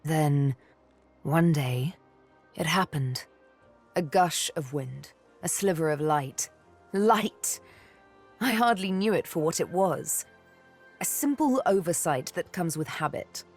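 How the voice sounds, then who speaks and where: A woman's voice: deep voice, animated, full character voice. A woman playing a sly, bitter elf assassin and former slave in a video game, with a teasing, menacing way of telling things. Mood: intense, awed. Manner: slow, teasing, menacing storytelling delivery. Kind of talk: video game character acting. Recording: music behind the voice.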